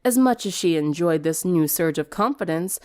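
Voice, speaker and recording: A woman reading a story aloud as an expressive narrator. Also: lowish voice, normal speed. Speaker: fan fiction reader.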